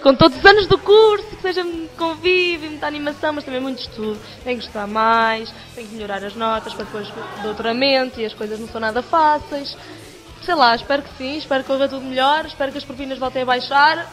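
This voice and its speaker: Young Portuguese woman, high voice. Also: fairly even intonation, quick pace, a bit bright, faint music behind. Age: young adult. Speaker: university student. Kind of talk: spontaneous vox pop answer.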